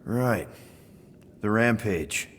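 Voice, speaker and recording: An actor playing a casual, wisecracking space adventurer in a video game, with an informal, flippant way of talking. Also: male voice, fairly low pitch, animated, drawn-out words, fairly bright tone, slight background noise, full character voice. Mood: curious. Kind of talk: video game cutscene acting.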